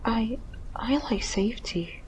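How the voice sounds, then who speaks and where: A woman voicing a shy, fearful little ghost in an audio drama, breathy, whispery and hesitant. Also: on the high side, takes it slowly, a little hiss or hum, rough recording. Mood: timid, worried. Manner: hesitant, apologetic.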